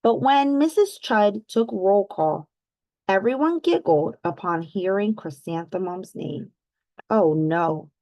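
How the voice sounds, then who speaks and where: Give me a woman reading a picture book aloud to children in a dry, close recording. Her pitch sits in the middle for a woman. She stretches key words for emphasis and addresses her young listeners like a teacher, with questions and prompts. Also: dry recording, rough recording. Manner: animated, exaggerated storytelling emphasis with drawn-out words. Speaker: read-aloud host for children.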